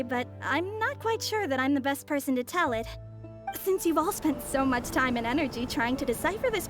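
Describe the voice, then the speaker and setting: A sly mysterious foreign traveler. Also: female voice, high voice. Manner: smooth, teasing, composed. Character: poised, mysterious woman traveler from abroad.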